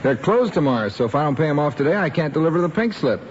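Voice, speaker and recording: A man with an American accent playing a smooth-talking, folksy used-car seller in a vintage film. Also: a little high, very animated, fast. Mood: persuasive. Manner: persuasive, folksy. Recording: muffled vintage film soundtrack, faint noise.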